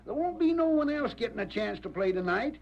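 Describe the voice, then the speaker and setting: Shrill, creaky western sidekick. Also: male voice, sing-song, brisk, full character voice. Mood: whining. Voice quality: creaky, cracked. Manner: whining, folksy, ungrammatical. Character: scrappy, grumbling male western sidekick of a gambler. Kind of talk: old-time radio western acting. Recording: muffled vintage radio recording.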